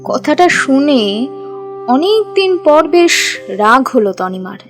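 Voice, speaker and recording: Woman story narrator, slightly high voice. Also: sing-song, slow pace, faint music behind.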